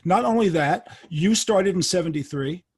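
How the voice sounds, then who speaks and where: Husky, higher-voiced older male host. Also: lively intonation, moderate pace. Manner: eager, talkative, leads with anecdotes. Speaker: podcast host. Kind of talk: casual interview chat.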